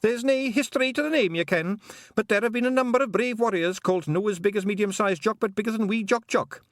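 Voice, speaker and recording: A man's voice: high-pitched, fast talker, no room sound, studio quality, voice pushed up, close to an everyday voice. A man voicing a tiny, earnest male pixie warrior with a Scottish accent who talks at length. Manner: earnest, long-winded.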